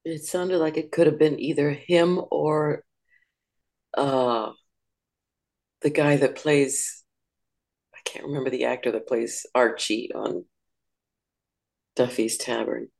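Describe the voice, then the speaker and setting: A person with a gravelly voice, chatting casually. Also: medium pitch, slow, pauses often, darkish tone. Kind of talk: casual chat.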